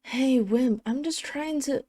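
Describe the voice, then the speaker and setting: A woman's voice: high voice, takes it slowly, mellow, dry recording. A woman playing a haughty, teasing dragon queen in an intimate audio roleplay, with an imperious, sarcastic, mock-sweet manner. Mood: playful, indignant. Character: haughty, teasing dragon queen, possessive of her human pet husband. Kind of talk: intimate scripted audio roleplay addressed to the listener.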